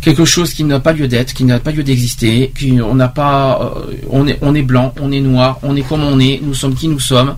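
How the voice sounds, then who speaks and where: A man hosting an informal radio discussion, talking haltingly and repeating himself for emphasis. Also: mid-range voice, steady tone. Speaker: radio host. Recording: clear background noise.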